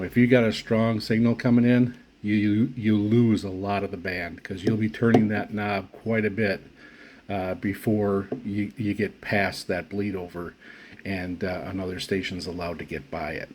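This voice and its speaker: A man with a fairly low voice talks through a casual hobbyist review. His delivery is halting, and he often pauses and restarts his phrases as he goes. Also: darkish tone. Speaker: radio hobbyist reviewing gear.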